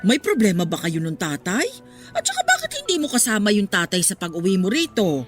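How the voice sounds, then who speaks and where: A woman's voice: medium pitch, huge pitch swings, a little fast, soft background music. A woman playing a nosy, judgmental older village neighbour in a radio drama, prying and sanctimonious while feigning concern.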